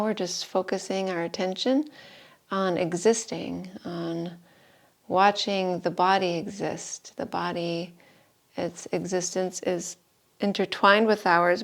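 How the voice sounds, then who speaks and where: A woman teaches yoga, guiding breath and relaxation at a very slow pace. Her voice sits a little low and has plenty of creak. She is soothing and leaves long pauses between short instructions. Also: fairly even intonation. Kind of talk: guided meditation and breathing instruction. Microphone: live video call stream.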